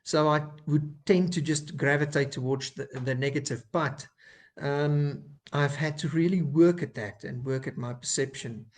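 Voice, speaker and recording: A man's voice: on the high side, normal speed. Man, Afrikaans accent, second-language English, hesitant, lots of ums and restarts, informal talk. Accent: South African Afrikaans. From South Africa. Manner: hesitant, many fillers and restarts. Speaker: clinical psychologist giving a talk. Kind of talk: informal faith-based talk.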